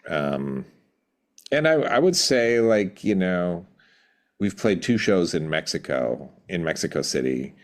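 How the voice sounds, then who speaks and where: A middle-aged man reflecting in an interview, thoughtful and measured, pausing to find his words. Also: low-pitched, big pitch swings. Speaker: podcast guest, rock band drummer.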